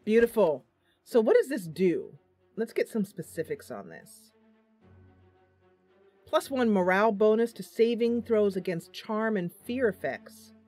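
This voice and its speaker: A woman talks through her game session in a loose, chatty way, pausing often with fillers as she thinks aloud. Her voice sits at a middling pitch. Faint game music plays underneath. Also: big pitch swings, mellow. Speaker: gaming channel host. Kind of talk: casual gameplay commentary.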